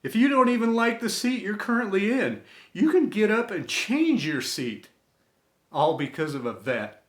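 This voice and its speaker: A middle-aged American man reading a scripted inspirational tribute in an earnest, reverent way, with deliberate phrasing and dramatic pauses. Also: high voice, big pitch swings. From United States. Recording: echoey room sound.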